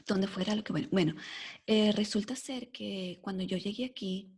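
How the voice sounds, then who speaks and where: A Venezuelan woman in her forties with a mixed accent softened by life in the United States, telling her story as a podcast guest in a lively way, full of fillers like "este" and "o sea". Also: medium pitch, moderate pace, gravelly, breathy edge. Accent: mixed Venezuelan Spanish, softened by years in the US. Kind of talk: casual storytelling interview.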